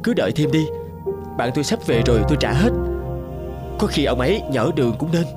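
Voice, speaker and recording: A man voicing a trusting, eager peasant husband in an animated folk tale. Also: a little high, fairly quick, over loud music. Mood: insistent.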